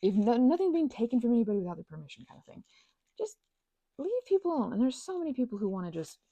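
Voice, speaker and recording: A woman in her thirties giving a casual, playful ASMR ramble with emphatic, slangy phrasing and a husky, breathy voice with vocal fry. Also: fairly high pitch, big pitch swings, rapid-fire, mellow, no room sound, lo-fi sound. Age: 30s. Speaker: ASMR channel host.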